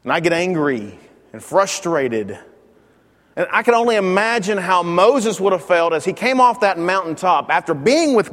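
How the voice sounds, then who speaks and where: A man teaches a Bible lesson in a church classroom, speaking loudly and emphatically into a microphone and often restarting his words. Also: a little high, big-room sound.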